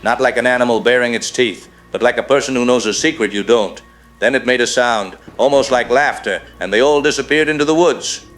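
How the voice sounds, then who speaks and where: Man playing rural rancher caller, shaken, grave storytelling, mid-pitched, radio drama, music underneath. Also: brisk, fairly bright tone, natural voice. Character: shaken rural American cattle rancher, male witness.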